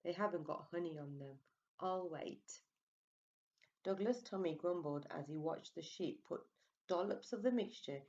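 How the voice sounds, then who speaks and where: A woman reading a picture book aloud to young children, expressive and coaxing, with little questioning asides. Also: fairly low pitch, highs cut off. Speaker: storyteller for preschool children.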